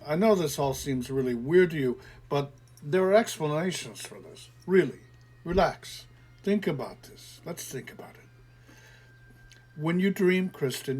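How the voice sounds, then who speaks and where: A man reading an audio story, voicing a calm, skeptical grown man who patiently explains things away in a soothing, lecturing way. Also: a little high.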